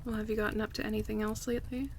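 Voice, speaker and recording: A woman playing an affectionate, playful close friend in a casual, relaxed ASMR roleplay, chatting and teasing in a conversational way. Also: mid-range voice, rapid-fire, vocal fry, noisy background, close to an everyday voice. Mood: warm, interested.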